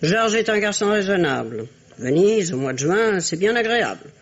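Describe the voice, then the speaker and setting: A woman playing a stern but fond aristocratic old grandmother in a radio drama, speaking in a formal, admonishing, imperious way with a husky voice. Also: medium pitch, big pitch swings, moderate pace. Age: older. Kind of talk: French radio drama acting. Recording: dull, muffled old radio recording.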